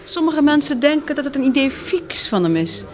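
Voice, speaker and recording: A woman's voice: high-pitched, very animated, moderate pace. An actress playing a spirited, wry and candid female survivor in a Dutch radio drama.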